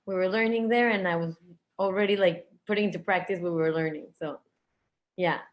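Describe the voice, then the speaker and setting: A woman's voice: lowish voice, fairly even intonation, brisk, lo-fi sound. Upbeat woman guest on a call. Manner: upbeat, casual, filler-heavy. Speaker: guest seller. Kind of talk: interview answers.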